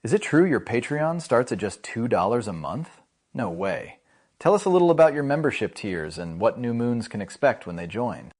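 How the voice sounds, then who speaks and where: A man's voice: mid-range voice, very animated, moderate pace, darkish tone. A man hosting a podcast, reading a polished, playful introduction and then interviewing his guest. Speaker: podcast host. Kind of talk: scripted show introduction and interview.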